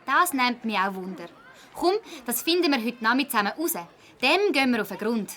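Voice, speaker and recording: A woman voicing an eager, determined schoolgirl detective in a children's audio drama, emphatic and expressive, with a Swiss German accent. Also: on the high side, a little fast, slight background noise. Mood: curious.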